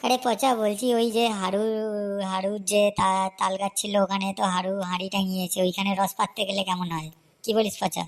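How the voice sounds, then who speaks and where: A woman's voice: medium pitch, fairly even intonation, a little fast, gravelly, close to an everyday voice. A woman voicing a bossy, scheming young boy in a comedy cartoon, with a pushy, chatty, cajoling way of talking. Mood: eager, chatty. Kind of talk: Bengali comedy cartoon voice acting.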